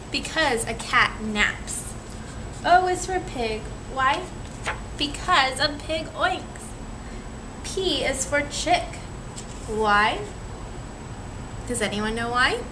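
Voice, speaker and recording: A woman's voice: sits high, lively intonation, slow, pauses often. A young woman reading a picture book aloud to children in a teacherly way, prompting them with questions. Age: young adult. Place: small indoor room, seated in a chair. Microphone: camera a few metres away. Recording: distant camera mic, clearly noisy room.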